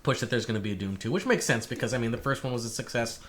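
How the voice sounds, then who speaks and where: A man's voice: medium pitch, expressive, very fast. A man co-hosting a casual tech podcast, easygoing and laughing along, often restarting his sentences. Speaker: podcast co-host.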